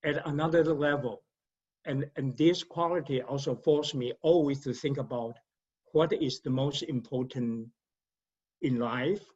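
A man with a Taiwanese accent, a professor speaking in a reflective conversation, talking deliberately and often repeating words as he thinks. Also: medium pitch, darkish tone, husky, muffled recording. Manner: deliberate, halting, frequent repetitions and fillers.

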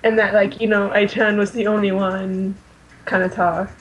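A woman chats as a co-host on a fan podcast. Her voice sits at a medium pitch and her delivery is informal and somewhat hesitant, padded with "like." There is faint noise behind her. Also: level tone, moderate pace, rough recording. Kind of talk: casual fan chat.

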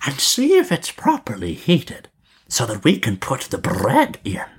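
A storyteller voicing a wicked old witch, sly and mocking, with a high cackling crone's voice and drawn-out, swooping delivery. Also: huge pitch swings, breathy edge, heavily acted. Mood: scolding, wheedling.

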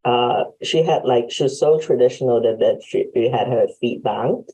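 Very deep, husky-voiced woman host. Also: moderate pace, warm tone, rough recording. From Hong Kong. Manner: friendly, laughs often, reflective. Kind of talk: casual interview chat. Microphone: video call.

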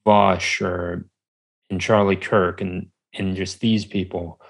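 A man as a podcast guest, talking casually and haltingly as he searches for words. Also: sits low, little pitch movement, creaky. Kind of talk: casual conversational interview.

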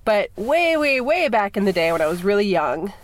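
A woman in her thirties from Minnesota hosts a casual podcast while nursing a cold. Her voice is congested and sits at a middle pitch. She rambles along with fillers and restarts. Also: sing-song, moderate pace. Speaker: podcast host. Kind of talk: casual chat.

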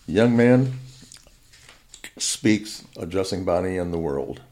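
A man reading scripted narration in a low, even voice. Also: slow.

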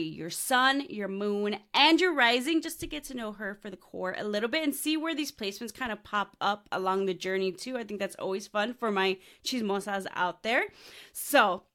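A woman's voice: mid-range voice, animated, normal speed, bright, forceful tone. A woman hosting a podcast, bubbly and enthusiastic, laughing often and mixing in Spanish slang words. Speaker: podcast host. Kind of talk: casual interview with astrology talk.

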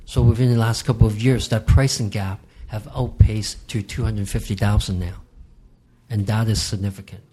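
Measured, emphatic man from Hong Kong. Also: fairly low pitch, fairly even intonation, mellow, slightly rough. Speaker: real estate guest expert. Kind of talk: podcast interview.

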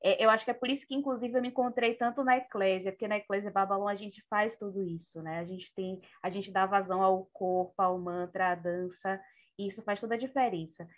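A Brazilian woman chatting casually and effusively as a panelist giving her closing remarks, telling things in an animated, informal way. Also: mid-range voice, a little fast, highs cut off. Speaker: panelist on a live online discussion show.

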